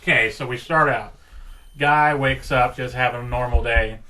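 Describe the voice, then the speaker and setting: A man co-hosting a podcast, casually telling a story pitch to friends in loose everyday speech. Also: fairly even intonation, normal speed, bright, forceful tone. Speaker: podcast co-host.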